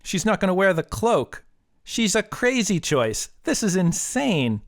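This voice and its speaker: A man's voice: high-pitched, big pitch swings, unhurried, voice pushed up, heavily acted. A man voicing an anxious, incredulous young woman who pleads and protests with exasperation in an audiobook story. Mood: exasperated protest.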